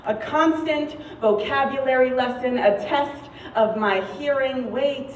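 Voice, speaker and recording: A young American woman performing a poetic monologue on stage in a deliberate, measured way with dramatic pauses. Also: high voice. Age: young adult. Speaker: student spoken-word performer. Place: stage in a large room. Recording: roomy, distant, dull stage recording, noisy.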